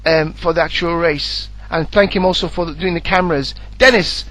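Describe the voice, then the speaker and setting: High voiced guy doing casual race chat, matey, really dry sound. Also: fairly even intonation, moderate pace, pushed and bright, no room sound. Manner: informal, matey. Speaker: sim racing co-commentator.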